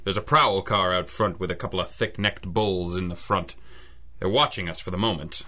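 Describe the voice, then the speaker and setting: An actor playing a tough, sardonic, world-weary private eye with a dry manner in an old-style radio drama. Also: male voice, sits low, normal speed, pushed and bright. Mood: terse. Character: tough, sardonic male hard-boiled private eye, war veteran.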